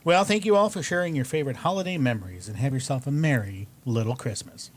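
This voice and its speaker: A man's voice: medium pitch, animated, quick pace. A man performing in a casual, conversational way as a guest in an audio play. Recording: faint background noise.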